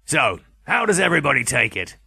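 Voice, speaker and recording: A man voicing a bluff, chatty middle-aged estate agent with a gravelly, jovial manner in an audiobook. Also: mid-range voice, big pitch swings, brisk, bright, forceful tone, dry recording, clean studio sound, pitched above the performer's own voice, heavily acted. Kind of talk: audiobook character voice.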